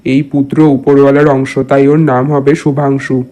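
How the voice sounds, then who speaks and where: A man's voice: mid-range voice, little pitch movement, normal speed, warm tone, lightly acted. A man voicing a solemn cartoon holy man who pronounces blessings and prophecies in a paternal way. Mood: solemn prophecy. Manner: pronouncing, paternal, addressing listeners familiarly. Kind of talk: cartoon dialogue. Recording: music behind the voice.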